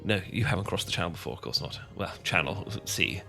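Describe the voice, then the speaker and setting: A man with an English accent playing a charming, shy young gentleman vampire in an ASMR romance roleplay, polite and old-fashioned, often stumbling over his words. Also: low-pitched, expressive, fairly quick, vocal fry, music in the background. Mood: shy, courteous. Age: young adult.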